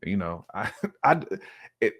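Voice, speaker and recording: A man's voice: fairly low pitch, very animated, a little slow, a bit bright. A man giving his opinions in casual podcast conversation, restating his points with emphasis. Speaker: podcast speaker.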